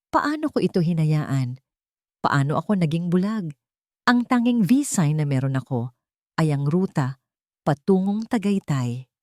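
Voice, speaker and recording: Low-voiced woman narrating a dramatic story. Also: dark tone, no room sound, studio quality. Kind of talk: dramatic first-person scripted narration.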